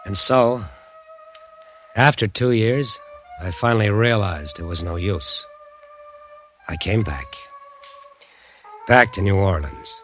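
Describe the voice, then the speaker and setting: An American man acting a haunted bandleader in a vintage radio thriller, narrating his slow decline in a halting, weary, dramatic way. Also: on the low side, a bit bright. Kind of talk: 1940s-50s radio drama acting, first-person narration. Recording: vintage radio recording, music behind.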